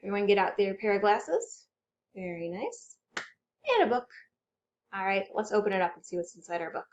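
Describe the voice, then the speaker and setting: A woman hosting a children's storytime, reading aloud and chanting rhymes in an animated, exaggerated way for young children. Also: medium pitch, moderate pace, muffled recording. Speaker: children's librarian storytime host.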